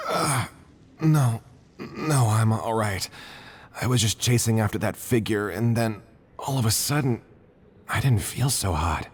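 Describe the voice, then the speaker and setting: An actor voicing a loyal, stubborn, shaken young man in a video game, his voice husky and breathy. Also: fairly low pitch, expressive, measured pace, long pauses. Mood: dazed, strained.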